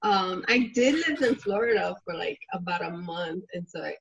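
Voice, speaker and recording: A woman's voice: on the low side, expressive, normal speed, gravelly, fairly bright tone, a little hiss or hum. A woman from the Bronx with a New York accent, easygoing and laughing in a casual group chat over a dull, lo-fi video call.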